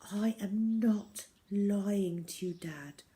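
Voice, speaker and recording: A woman's voice: mid-range voice, extremely slow, warm tone. A woman voicing a plucky, determined young girl, earnest and insistent, in a children's storybook reading.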